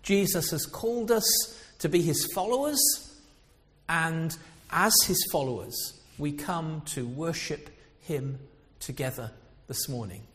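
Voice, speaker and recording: An older man from with a loud voice, preaching an informal sermon in a chatty, meandering way with frequent pauses. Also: fairly high pitch. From Coventry, England. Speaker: lay preacher. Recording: echoey hall sound, dull lo-fi.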